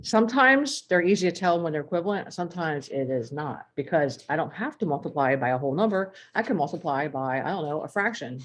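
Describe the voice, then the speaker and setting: A woman teaching a math lesson while losing her voice, clearing her throat and walking through examples step by step. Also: low voice, lively intonation, brisk, few pauses.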